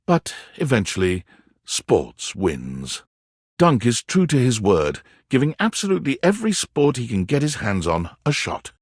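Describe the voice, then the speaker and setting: A man playing a wry, warm storyteller who narrates a dating game in the second person, theatrical and with deliberate dramatic pauses. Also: medium pitch, husky, dry recording, studio quality.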